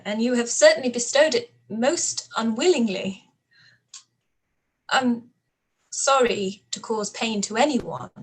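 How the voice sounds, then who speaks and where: An actress playing a proud, spirited young Regency gentlewoman in a radio play reading, with measured, articulate delivery. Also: a little high, breathy edge, low-quality recording. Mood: stiffly restrained.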